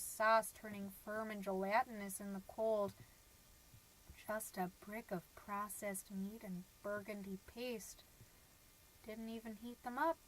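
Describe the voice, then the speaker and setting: A woman's voice: medium pitch, a bit bright, poor audio. A woman reading a scripted podcast outro as the announcer. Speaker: credits announcer.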